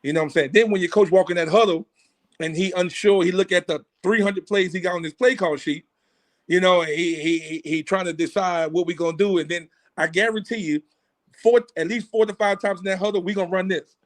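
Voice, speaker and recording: An animated man talking football as a coach, with a high-pitched voice. He breaks down plays in casual speech and jumps into lively sideline-style voices. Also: fairly quick. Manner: animated, acts out coaches' talk. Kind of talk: casual sports analysis.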